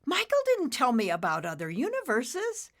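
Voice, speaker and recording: Nimbus, a woman voicing an excitable young cloud. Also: high voice, normal speed, voice pushed up, exaggerated voice. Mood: eager. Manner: excitable, stammering, shouting. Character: young eager male storm cloud.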